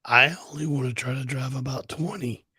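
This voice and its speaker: A man co-hosting a casual overlanding talk show, hoarse and husky because he has lost his voice, speaking in a laid-back way with laughs and swearing. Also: mid-range voice, fairly quick, bright, forceful tone. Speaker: co-host. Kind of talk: casual podcast chat.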